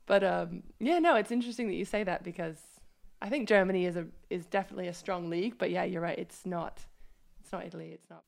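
A woman co-hosting a podcast over a video call, talking in a thoughtful, measured way. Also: fairly low pitch, creaky. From USA. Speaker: podcast co-host. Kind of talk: casual chat. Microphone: video call mic.

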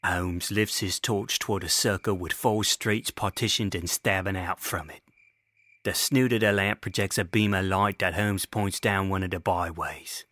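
A man's voice: sits low, steady tone, normal speed, no echo. A man giving florid, dramatic scripted narration for an audio drama. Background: loud music.

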